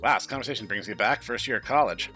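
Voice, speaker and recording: Guy doing casual let's play commentary, bright voice, kinda wry, faint music behind. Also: lowish voice, expressive, quick pace. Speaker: gaming video host. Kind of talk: casual game commentary and read-aloud.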